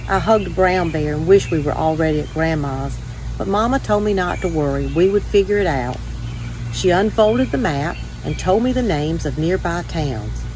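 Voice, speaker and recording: A woman's voice: on the low side, expressive, moderate pace, darkish tone, slight background noise, low-quality recording. A woman reading a children's storybook aloud as a first-person narration. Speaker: story time reader.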